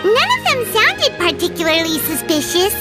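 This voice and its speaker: A woman's voice: very high voice, wildly swooping pitch, moderate pace, loud music behind the voice, exaggerated voice. A woman voicing a small, chirpy, earnest childlike fairy companion in a video game. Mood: curious, pondering.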